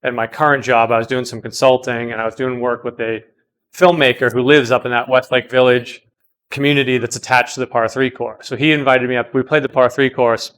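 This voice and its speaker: A man in his late thirties chatting casually while playing golf outdoors, easygoing and quick to laugh. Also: mid-range voice, fairly even intonation, a bit bright. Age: 30s. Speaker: golf stream host.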